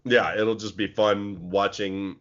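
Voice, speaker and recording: A man's voice: fairly low pitch, takes it slowly. A young man co-hosting a podcast, chatting casually and giving opinions with plenty of fillers. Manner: animated, opinionated, many fillers. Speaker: podcast co-host. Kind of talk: casual chat. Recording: dull lo-fi sound.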